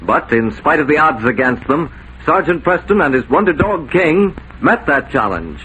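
A man's voice: on the high side, sing-song, normal speed, sharp tone. A man narrating as a 1940s radio announcer, dramatic and declamatory. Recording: noisy 1940s radio recording.

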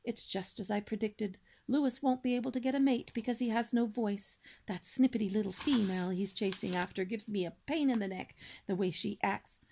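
A woman's voice: mid-range voice, fairly quick, vocal fry, hardly any pauses, dark tone. A woman voicing a practical, dry-witted mother swan. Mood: fretful. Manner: dry, matter-of-fact.

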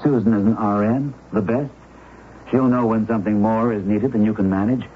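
Husband role: actor as earnest, remorseful young man, low, tinny radio sound. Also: fairly even intonation, moderate pace, close to an everyday voice. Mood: pleading. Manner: earnest, pleading. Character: guilt-ridden young husband, earnest and kind. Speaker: supporting character. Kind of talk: 1970s radio drama acting. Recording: tinny radio broadcast with faint hiss.

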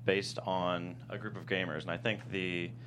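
A man with a very low voice talks casually as an interviewed writer. He pauses and restarts as he thinks aloud, over a faint noisy hum. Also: little pitch movement, fairly bright tone. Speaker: writer, guest. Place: studio.

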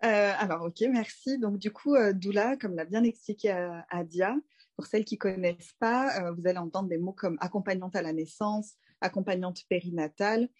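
A woman in her thirties from France, a doula speaking as a guest in a relaxed interview, thinking aloud with frequent fillers and restarts. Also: medium pitch, normal speed. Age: 30s. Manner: reflective, many fillers and restarts. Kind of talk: casual conversational interview.

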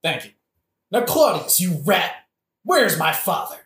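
A woman's voice: on the low side, big pitch swings, a little slow. A woman playing a hot-headed, foul-mouthed young male avenger in a satirical audio play, brash and blustering. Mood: angry, commanding. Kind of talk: satirical modern-language audio play acting. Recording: roomy, echoing audio-play recording.

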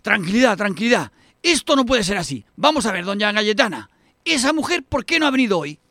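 Man playing a shrill, scheming Spanish eldest brother. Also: big pitch swings, a little fast, sharp tone, no room sound, studio quality, exaggerated voice. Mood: outraged. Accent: Spain. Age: middle-aged. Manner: exclamatory, scornful, sarcastic. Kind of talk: amateur radio soap acting.

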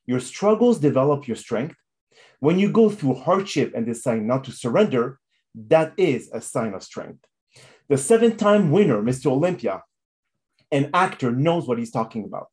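A man's voice: mid-range voice, very animated, normal speed, a little mellow. Formal, motivational male podcast host. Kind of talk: interview hosting with motivational sign-off.

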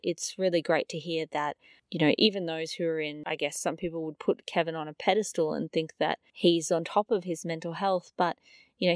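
A woman's voice: on the low side, no room sound, clean studio sound. Earnest woman podcast host. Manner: earnest, chatty with frequent fillers. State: losing her voice, slightly raspy and nasal. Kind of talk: podcast episode introduction.

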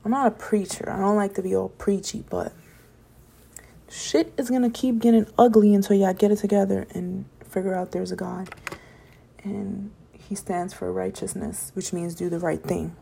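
Young woman, solo podcast host, gravelly and a bit hoarse, mellow tone, rambling and preachy about positivity. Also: medium pitch, fairly even intonation, moderate pace, a little hiss or hum. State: voice getting raspy from talking late. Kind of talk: late-night solo podcast monologue.

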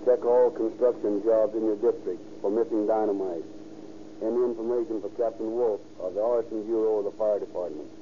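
A man's voice: on the low side, steady tone, a little fast, close to an everyday voice. A man playing a businesslike police dispatcher on a 1930s radio program. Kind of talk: flat procedural police broadcast, 1930s. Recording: muffled, noisy old radio recording.

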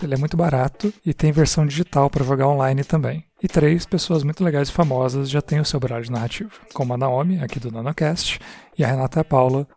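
A man's voice: fairly high pitch, darkish tone. A man with a Brazilian accent giving a prepared, explanatory monologue as a podcast guest. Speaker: podcast guest, game creator.

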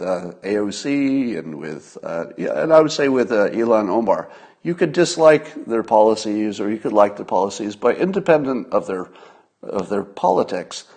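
A man hosting a solo livestream, giving casual political commentary in a conversational, emphatic manner with pauses for effect. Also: on the low side, a little mellow, slightly rough.

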